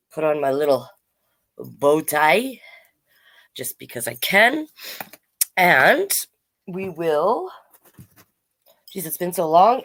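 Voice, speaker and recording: Woman, lowish voice, unhurried, very animated intonation, playful children's storytime host, chatty. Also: no echo. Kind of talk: casual livestream chat and reading aloud to children.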